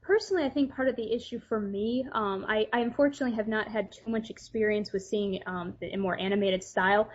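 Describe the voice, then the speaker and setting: A woman's voice: medium pitch, fast talker, hardly any pauses, highs cut off, slight background noise. A woman speaking as a podcast guest, chatting casually in a hesitant, thoughtful way. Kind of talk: casual chat.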